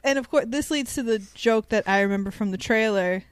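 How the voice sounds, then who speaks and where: A woman's voice: a little high, brisk, clean tone, dry recording. A woman co-hosting a podcast, casually recapping a film in a sweary, sarcastic, irreverent way. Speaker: podcast co-host.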